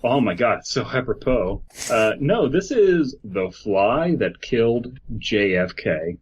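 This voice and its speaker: A man's voice: medium pitch, animated, slow pace. A man co-hosting a podcast in a casual, joking group chat, swearing freely. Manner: profane, joking. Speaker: podcast co-host. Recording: muffled mic with background hiss.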